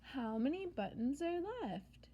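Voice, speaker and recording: A woman preschool teacher reading a picture book aloud to young children in simple, sing-song phrases, pausing after questions. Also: sits high, expressive, dark tone, highs cut off, slight background noise. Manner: simple short phrases with deliberate pauses for answers.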